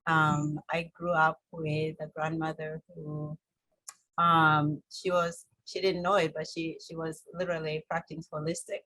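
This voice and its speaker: A woman with a Kenyan accent telling her story conversationally as an interview guest, in an informal, halting way with many "you knows". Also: sits low, flat delivery, pushed and bright, no echo, poor audio. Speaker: interview guest, holistic health coach.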